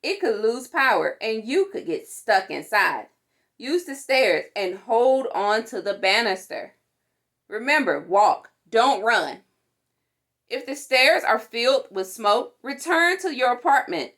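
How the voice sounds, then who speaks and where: Female storytime reader for children's safety book; higher register, highly animated and instructive. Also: takes it slowly. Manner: animated, instructive, acts out sound words. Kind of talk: expressive read-aloud of a children's safety book.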